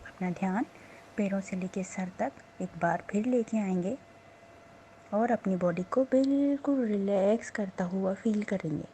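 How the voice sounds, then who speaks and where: A woman with a soft-edged, mellow voice at a medium pitch leads a guided meditation. She gives instructions slowly and soothingly, leaving pauses between phrases. A faint layer of background noise sits behind her. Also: rough recording. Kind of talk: slow guided meditation narration.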